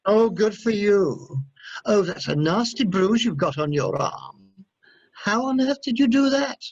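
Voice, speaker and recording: A man's voice: high voice, animated, a little slow, exaggerated voice. A chatty, theatrical British man, played by an amateur actor in a radio play. He gushes sociably like a gossipy host, over slightly dull online audio. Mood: gushing, sociable.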